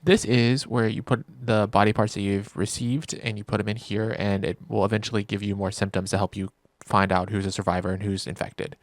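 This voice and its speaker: A man's voice: on the low side, flat delivery, brisk, no room sound. A man narrating a video game review in an informal, wry, joking way, with casual reactions. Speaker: gaming video creator.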